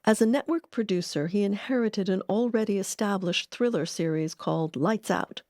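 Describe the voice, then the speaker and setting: A woman giving measured, articulate scripted narration as a documentary narrator. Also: medium pitch, darkish tone, no echo, clean studio sound.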